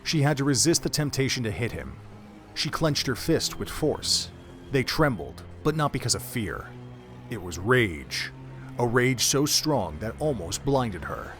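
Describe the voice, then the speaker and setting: A man giving scripted story narration for an audio drama in a measured, even way. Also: mid-range voice, faint music behind.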